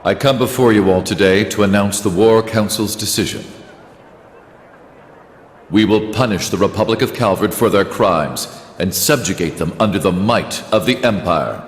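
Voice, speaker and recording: Stern game statesman, played by a man: lowish voice, formal authoritarian proclamations, echoing hall acoustic. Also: normal speed, close to an everyday voice. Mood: stern resolve. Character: stern authoritarian male statesman proclaiming war on a rival nation. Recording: echoey hall, long reverb.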